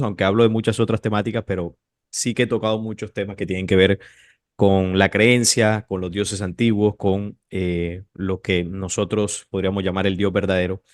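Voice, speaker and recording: A man's voice: lowish voice, no room sound, studio quality. A man from Colombia, a guest on an interview, speaking in a polite, thoughtful way. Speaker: guest, channel creator. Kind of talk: casual interview chat.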